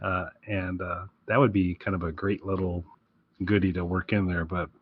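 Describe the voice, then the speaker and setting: Slightly husky, low-pitched man bantering. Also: little pitch movement, moderate pace. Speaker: podcast co-host. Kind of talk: casual podcast chat, wry banter. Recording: slightly dull, lo-fi close recording.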